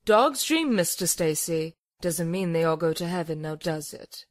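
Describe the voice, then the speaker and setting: A woman playing a cool, blunt scientist in a horror audio drama, measured and dry. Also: on the low side, animated, natural voice. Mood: dry, sardonic. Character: cool, clinical female scientist, sardonic and blunt.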